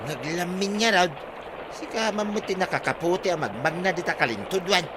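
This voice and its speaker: An actor with an Ilocano Filipino accent playing a reluctant, grumbling village husband in a radio comedy drama. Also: male voice, sits high, animated, fairly quick, background noise.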